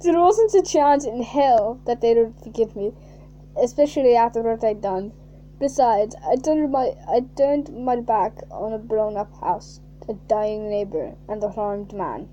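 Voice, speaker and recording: A woman reading a fan fiction aloud in a mocking way, reading flatly and then bursting into shrieking, laughing asides. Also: on the high side, a little slow, a little hiss or hum, rough recording.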